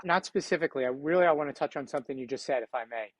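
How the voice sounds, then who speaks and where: A man's voice: on the high side, rapid-fire, no echo. A man with a Philadelphia accent speaking as a podcast guest in an earnest, reflective and motivational conversational way. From Philadelphia, United States. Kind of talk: conversational interview.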